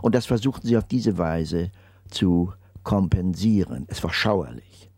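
An older man speaks slowly and deliberately, leaning hard on key words before his voice sinks to a near-whisper at the ends of phrases. His voice is low and gravelly, with a dark, mellow timbre. The recording is close and dry. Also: expressive, no room sound. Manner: slow, deliberate and emphatic, trailing off into near-whispers. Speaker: interviewee. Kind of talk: reflective testimony interview.

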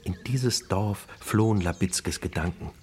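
A man playing the reflective narrator of a gangster's memoirs in a radio play, telling it in a measured, literary way. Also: deep voice, a little mellow, slight background noise. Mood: wistful.

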